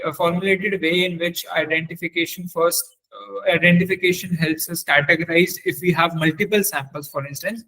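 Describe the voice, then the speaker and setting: Male webinar trainer, somewhat high voice, halting and repetitive delivery, informal technical teaching. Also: fairly bright tone, rough recording. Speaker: webinar trainer on forensic audio.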